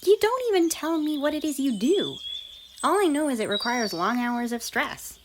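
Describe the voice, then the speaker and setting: A woman voicing an affectionate, bubbly young cartoon pony in an ASMR roleplay, with a squeaky, breathy voice and a theatrical, tender manner. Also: expressive, moderate pace. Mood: playful concern. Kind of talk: ASMR fan fiction roleplay. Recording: noisy, birdsong and ambience behind.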